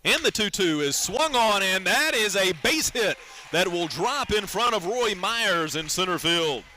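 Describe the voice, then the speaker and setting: A man calls a baseball game for radio in a very bright, forward voice. His pitch sits a bit high, and he describes the play in a conversational way with easy asides. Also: animated, unhurried, slight background noise. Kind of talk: live baseball radio commentary.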